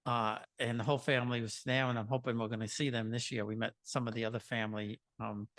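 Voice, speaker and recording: A man hosts a casual interview conversation. He speaks haltingly and often restarts his sentences while he works out what to ask. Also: medium pitch, little pitch movement, a bit bright. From Queens, New York.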